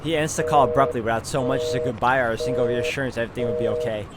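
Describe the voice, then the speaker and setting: A man's voice: mid-range voice, racing, very noisy. A man hosting a game walkthrough, reading visual novel narration aloud and adding his own asides. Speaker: video game walkthrough host.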